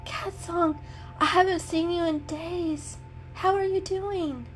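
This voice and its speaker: A woman voicing a gentle, anxious female cat with an earnest, hesitant manner in a dramatic storybook reading. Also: extremely high pitch, steady tone, slow pace, pitched above the performer's own voice. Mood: delighted. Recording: music behind the reading.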